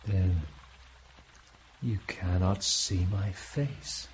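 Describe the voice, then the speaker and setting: A man's voice: low-pitched, very slow, lo-fi sound. An actor playing a guarded, laconic American man in a radio drama, speaking in a terse, reserved way. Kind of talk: restrained radio drama acting.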